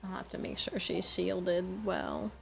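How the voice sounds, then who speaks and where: A woman's voice: fairly low pitch, fairly even intonation, measured pace, creaky, soft background music. A woman streaming a game, commenting casually and musing aloud over her choices.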